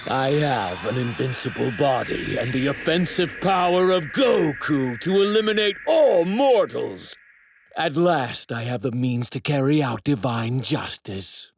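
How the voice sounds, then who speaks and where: A man's voice: medium pitch, very animated, measured pace, slight background noise. A man in an anime dub voicing an arrogant young apprentice god, a righteous villain who speaks formally and precisely with courteous menace. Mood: grandiose, triumphant. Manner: formal, haughty, precisely worded.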